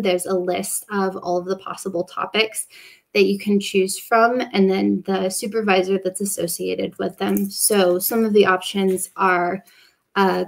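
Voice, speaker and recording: A woman's voice: mid-range voice, gravelly. A young woman in her twenties, a master's student, casually presenting her study program on a video call with lots of fillers and "like". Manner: relaxed, frequent fillers and "like". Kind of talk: casual presentation at an online open day.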